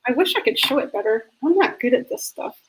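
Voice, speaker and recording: A woman's voice: high voice, animated, quick pace, a little hiss or hum, low-quality recording. A woman hosting a casual video interview, upbeat and encouraging, with lots of ums and you-knows. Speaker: host.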